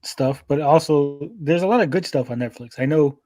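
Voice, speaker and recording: A man speaking as a podcast panelist in a casual discussion, in a hesitant, measured manner. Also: fairly high pitch, dark tone, dry recording.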